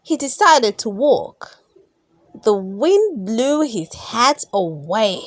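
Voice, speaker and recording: Woman reading a kids bedtime story, slow and expressive, really up and down, close dry sound. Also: fairly high pitch, no echo. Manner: expressive, drawn-out emphasis, gasps and sighs, questions to young listeners. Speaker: storybook reader. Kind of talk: read-aloud children's bedtime story narration.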